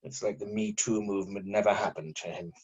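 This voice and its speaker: Man doing fed up sarky journalist, husky voice, bit muffled, script read. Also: on the low side, fairly even intonation, brisk, a little mellow. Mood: sarcastic. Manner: exasperated, sarcastic. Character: weary, bitterly sarcastic male journalist. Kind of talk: amateur script table read.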